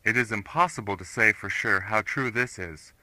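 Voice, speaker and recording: Man playing a grim investigator, sharp forceful voice. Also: fairly low pitch, normal speed, no echo, poor audio. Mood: grim, detached. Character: grim retired male investigator recording a case tape. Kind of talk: scripted narration, analog horror case report.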